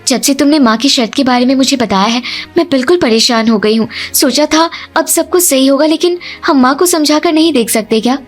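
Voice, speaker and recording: Young daughter-in-law, cartoon drama female reader: raised pitch, polite, insistent, faint music. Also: fairly quick, few pauses, lightly acted. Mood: worried.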